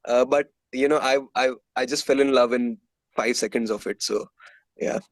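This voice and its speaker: A man's voice: mid-range voice, fairly even intonation, moderate pace, no room sound. A man from India with an Indian accent, telling stories casually and candidly as a musician being interviewed.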